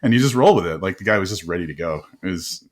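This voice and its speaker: Deep-voiced man hosting, chatty and laughing. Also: quick pace. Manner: animated storyteller, laughs often, many restarts. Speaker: podcast host. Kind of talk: casual interview chat.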